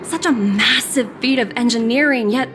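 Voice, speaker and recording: Woman voicing a polished corporate executive over loud music. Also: on the high side, normal speed, close to an everyday voice. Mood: intrigued. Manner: articulate, formal, businesslike. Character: poised corporate female executive, polished and shrewd. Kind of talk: scripted video game cutscene acting. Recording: loud game music behind the voice.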